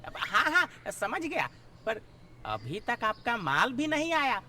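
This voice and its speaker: Slow-talking, cheeky, whiny servant. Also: male voice, very high voice, wildly swooping pitch, sharp tone, heavily acted. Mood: whiny excuses. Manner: whining, wheedling, cheeky. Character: whiny, cheeky, work-shy comic clerk servant. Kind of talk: exaggerated comic cartoon acting.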